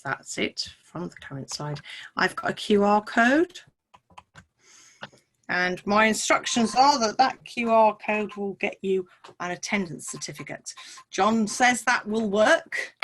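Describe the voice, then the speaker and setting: A woman's voice: mid-range voice, slow, a bit bright, a little hiss or hum. A woman hosting an online webinar, wry and informal, with self-deprecating remarks. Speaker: webinar host. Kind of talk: online teaching chat. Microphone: video call.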